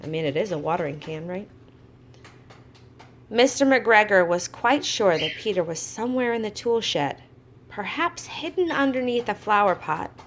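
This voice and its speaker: A woman's voice: mid-range voice, normal speed, slight background noise. A woman reading a storybook aloud to young children, expressively, with chatty little asides. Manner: expressive, acts out exclamations, adds chatty asides to young listeners. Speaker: kindergarten teacher reading to class.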